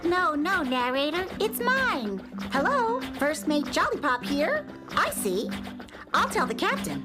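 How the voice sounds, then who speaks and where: Eager, dutiful girl sailor, very high voice, children's radio drama, loud music underneath. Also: sing-song, unhurried. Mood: earnest, reporting. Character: eager girl first mate of a flying dream ship. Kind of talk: children's radio play acting.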